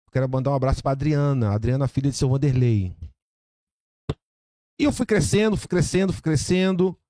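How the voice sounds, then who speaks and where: A man from Brazil, a barber and podcast guest, telling his story in lively casual chat, repeating words and acting out quoted dialogue. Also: mid-range voice, brisk.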